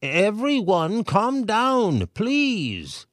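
A man's voice: high voice, wildly swooping pitch, extremely slow, no room sound, clean studio sound, pitched above the performer's own voice, full character voice. A man voicing a flustered male town mayor in a storybook, reading a warning letter aloud in a raised, urgent voice. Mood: pleading.

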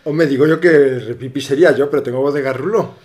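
A man's voice: fast, a little mellow. Co-host, a man, mid-range voice, blunt and joking. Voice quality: lower voice than his co-host. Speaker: podcast co-host. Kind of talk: casual chat.

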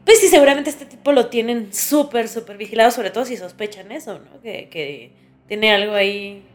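A woman's voice: a little high, expressive, normal speed. A woman with a Mexican accent chatting casually and informally as a podcast co-host. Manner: informal, conversational interjections. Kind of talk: casual chat.

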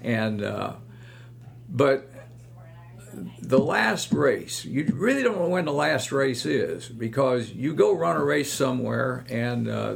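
An older man, reminiscing about running in a slow, rambling way with many fillers. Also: medium pitch, creaky. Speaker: guest, longtime club runner. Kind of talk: casual reminiscing chat.

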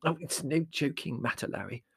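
A man voicing a gentle, fretful middle-aged mother in a storybook reading, anxious and placating but firm. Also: a little high, big pitch swings, normal speed, slightly rough, no echo, voice pushed up, exaggerated voice. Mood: severe, worried.

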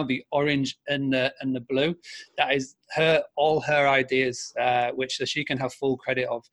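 A man's voice: medium pitch, fairly bright tone. A man in his thirties with a Yorkshire accent, a podcast guest chatting casually with plenty of ums and restarts. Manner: hesitant, many fillers and restarts. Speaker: podcast guest, business founder. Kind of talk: casual interview conversation.

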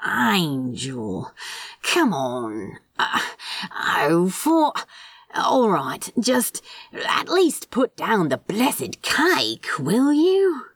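A woman's voice: medium pitch, huge pitch swings, extremely slow, creaky, no echo, exaggerated voice. A woman voicing a fond, prickly male demon in a story, drawling and hesitant, easily exasperated. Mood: flustered, exasperated.

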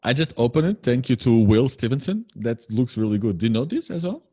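A man's voice: mid-range voice, big pitch swings, a little fast, mellow, slightly rough, dry recording, studio quality. A man speaking as a guest in a casual online chat over a video call, rambling enthusiastically and repeating his phrases.

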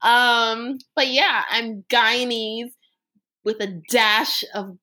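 A young American woman with a bright, slightly high voice co-hosting a casual chat podcast. She trades quick, teasing remarks with her friends. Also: steady tone. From United States. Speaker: podcast co-host.

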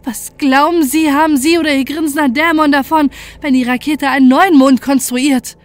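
A woman's voice: high voice, fairly even intonation, normal speed, lightly acted. A woman playing a defiant, desperate scientist who narrates a horror story in an intense, dramatic way.

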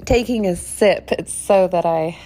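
A woman hosting a devotional podcast and leading prayer, her voice slightly croaky from a cold, speaking in an earnest way with soft, trailing phrases. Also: lowish voice, unhurried, soft background music, poor audio. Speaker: podcast host.

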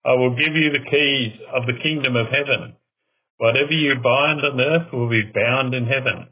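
A man reading scripture aloud in a measured, formal way. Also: mid-range voice. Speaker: scripture reader, religious brother. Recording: muffled, phone-like narrow band.

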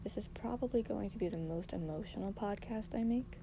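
A woman hosting a personal podcast while losing her voice; she talks softly and haltingly, raspy and hoarse, with long pauses. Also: mid-range voice, noisy background. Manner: soft-spoken, halting, reflective, many pauses. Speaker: podcast host. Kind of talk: personal solo podcast monologue.

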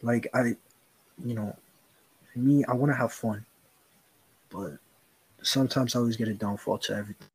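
A man's voice: medium pitch, fairly even intonation, normal speed, pauses often, breathy edge, rough recording. A young man co-hosting a podcast, talking earnestly in casual chat, rambling with slang and swearing. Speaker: podcast co-host.

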